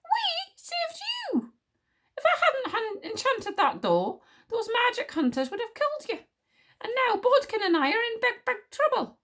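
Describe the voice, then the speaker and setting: Lady doing a feisty little warrior girl for a storybook, high voice jumping all over, really emphatic. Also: expressive, normal speed, few pauses, slightly rough. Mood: outraged. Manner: emphatic, forthright. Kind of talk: audiobook character voice.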